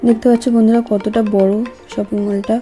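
A woman's voice: mid-range voice, level tone, fairly quick, dark tone, soft background music. A woman vlogger, giving a casual voice-over narration in short phrases.

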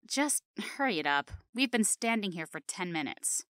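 A woman's voice: medium pitch, sing-song, moderate pace, fairly bright tone, slight background noise. A woman voicing a surly, impatient young hellhound in a cartoon audio drama, snappish and sarcastic. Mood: irritated.

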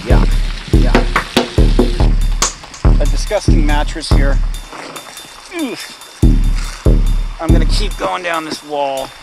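A woman's voice: deep voice, slow pace, gravelly, long pauses. A woman narrating a casual outdoor vlog by a storm drain channel, exclaiming in disgust as she points things out. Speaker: urban explorer vlogger. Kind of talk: casual walk-and-talk narration. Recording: loud music over the voice.

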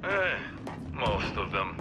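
An actor voicing a dry, sarcastic, battle-hardened resistance leader in a video game, heard over a radio. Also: male voice, lowish voice, very animated, takes it slowly, heavy background noise. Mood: dry, sardonic.

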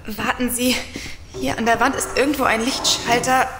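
A woman's voice: on the high side, steady tone, moderate pace, heavy background noise, lightly acted. An actress as a coy, self-assured young woman. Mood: confident, chatty. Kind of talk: German audio drama acting.

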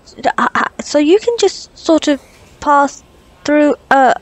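A woman playing a flustered, curious doctor on a date in an ASMR roleplay, stammering nervously and haltingly. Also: high-pitched, animated. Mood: hesitant, curious. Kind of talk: ASMR roleplay audio drama.

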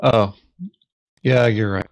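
Slow-talking, slightly low-voiced man. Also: steady tone. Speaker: board game player in a recorded play session. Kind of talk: casual gameplay chat.

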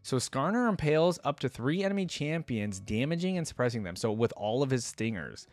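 A man's voice: mid-range voice, very animated, a little fast, a little mellow, no room sound. A young man giving casual, informal reaction commentary, thinking aloud and reading bits out at a close desk microphone. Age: young adult. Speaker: reaction video host. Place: indoor gaming setup. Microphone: desk microphone up close, headset on.

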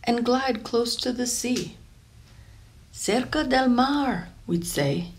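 A woman reading a children's picture book aloud in an expressive, deliberate storytelling way, lingering over phrases. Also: fairly high pitch, slight background noise. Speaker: storybook reader.

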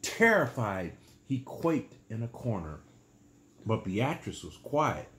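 A man in his sixties reading a children's bedtime story aloud in a small room, pausing to explain things simply and patiently. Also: on the low side, very animated, reverberant.

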